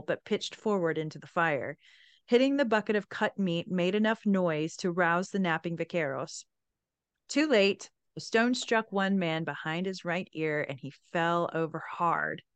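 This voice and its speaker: A woman's voice: fairly low pitch, fairly bright tone, smooth, no room sound. A woman hosting a podcast, retelling and reading aloud from a book with a chatty, enthusiastic manner. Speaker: podcast host.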